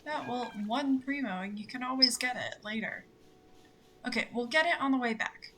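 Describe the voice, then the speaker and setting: A woman's voice: on the high side, animated, moderate pace, a bit bright. A young woman chatting casually with a gaming partner, hesitant at times and playful. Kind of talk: casual gameplay chat. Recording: faint background noise.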